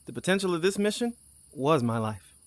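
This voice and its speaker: A man's voice: a little high, very animated, brisk, no room sound. A man playing an easygoing, teasing, candid crewmate on a mission in a naturalistic audio drama, talking casually. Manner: casual, conversational.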